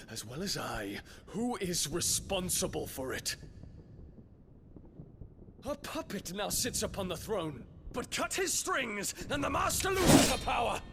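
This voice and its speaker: Young dragoon prince, solemn, oratorical, widely swinging intonation, video game drama, clear background noise. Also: male voice, high voice, heavily acted. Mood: impassioned. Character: earnest rebellious young prince and dragoon leader.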